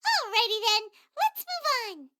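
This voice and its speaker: A tiny fairy companion with a very high, squeaky child's voice that swoops up and down. She is chirpy, loyal and curious, a lively video game sidekick with a bright, piercing edge. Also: unhurried, exaggerated voice. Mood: encouraging. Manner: speaks of herself in the third person.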